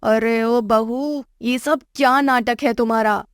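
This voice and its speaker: High-voiced, nagging mother-in-law, acted by a woman. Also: fairly even intonation, slow pace, no room sound, clean studio sound. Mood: angry nagging. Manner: haughty, nagging, insulting. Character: scolding, exasperated middle-aged mother-in-law of a rich household. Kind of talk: Hindi moral-story cartoon dialogue.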